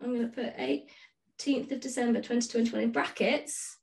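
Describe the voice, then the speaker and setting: A woman's voice: a little high, fairly even intonation, normal speed, vocal fry, darkish tone. A woman chatting casually as co-host of a book podcast, playful and teasing. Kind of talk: casual unscripted book chat.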